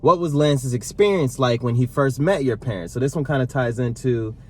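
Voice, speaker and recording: A man in his thirties co-hosting a casual sit-down Q&A with his partner, talking in a relaxed, rambling way. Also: mid-range voice, brisk, slight background noise. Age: 30s. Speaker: vlog co-host.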